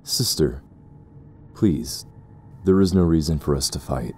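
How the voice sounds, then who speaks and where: Very deep, coldly pompous zealot. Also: male voice, mellow, slight background noise. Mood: cold, composed. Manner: formal, measured, condescending speech. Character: sinister cursed brother, pompous, coldly condescending zealot. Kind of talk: audio roleplay drama acting.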